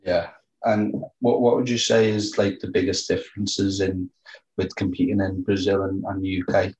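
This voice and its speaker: A man's voice: sits low, level tone, normal speed, darkish tone, low-quality recording. A man asking short, casual interview questions.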